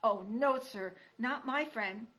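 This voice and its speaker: Woman voicing a timid young girl deer mouse; high, pleading, frightened. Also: slow pace, pushed and bright.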